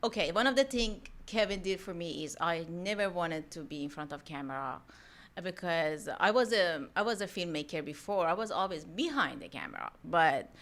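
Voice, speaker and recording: A middle-aged woman from Iran with a thick Iranian accent, chatting casually as a podcast guest, animated and quick to laugh. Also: lowish voice. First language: Persian. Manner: animated, laughs often, rambling self-corrections. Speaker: mortgage loan officer guest.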